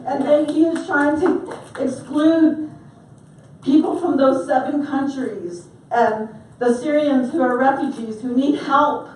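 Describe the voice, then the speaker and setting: A high-voiced woman from the Navajo Nation speaks with emotion and passion as an audience member at a town hall. Her voice is picked up from a distance in a strongly reverberant hall, with faint noise underneath. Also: fairly even intonation, slow, darkish tone. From Navajo Nation, United States. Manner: emotional, impassioned. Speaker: audience member asking a question. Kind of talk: emotional public comment. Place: large echoey hall. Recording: echoey hall from far off.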